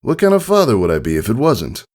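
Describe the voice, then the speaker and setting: Guy doing a doting pony dad, gently teasing, animated delivery, storybook fanfic reading. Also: on the low side, fast talker, voice pushed up. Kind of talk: fan fiction audiobook character voice.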